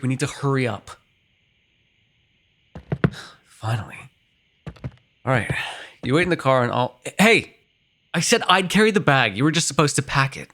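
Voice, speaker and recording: A man playing a sarcastic vampire with a soft spot in an ASMR roleplay, talking in a teasing, conversational way with sighs and hesitations. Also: medium pitch. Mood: impatient, then exasperated, teasing. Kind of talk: immersive ASMR roleplay acting.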